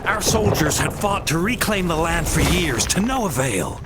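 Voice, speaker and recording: Swooping, theatrical storyteller. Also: male voice, on the high side, sing-song, over loud music. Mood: grave, epic. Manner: grandiose, declamatory, drawn-out emphasis. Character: theatrical street storyteller, grandly recounting heroic war legends. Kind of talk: dramatic storytelling narration.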